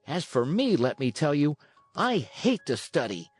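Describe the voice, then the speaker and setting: A narrator acts out a little wooden marionette boy from a storybook, pitched high and full of swoops. He is impudent, petulant and stubbornly defiant. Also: big pitch swings, unhurried, husky, faint music behind. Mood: defiant, carefree. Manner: cheeky, petulant.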